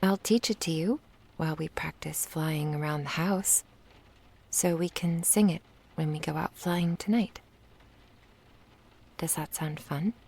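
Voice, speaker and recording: A woman playing an affectionate young witch in an intimate ASMR roleplay, speaking softly, tenderly and playfully, often in a whisper. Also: low-pitched, a little slow, long pauses, exaggerated voice, poor audio. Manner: soft, breathy, tender murmuring with little hums.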